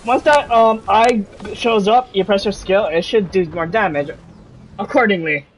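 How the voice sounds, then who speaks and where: High-pitched man streaming a game. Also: unhurried, a little hiss or hum, rough recording. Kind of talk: casual gameplay commentary. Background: game music.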